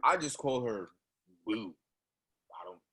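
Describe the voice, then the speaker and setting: A man's voice: lowish voice, lively intonation, slow pace, a bit bright. A man acting a nervous, eager-to-please young son in a play reading, evasive and flustered.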